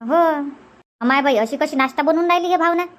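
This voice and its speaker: A woman's voice: sits high, fairly quick, close to an everyday voice. A woman voicing an opinionated, chatty village aunt in a cartoon comedy, with a rural Vidarbha Marathi accent.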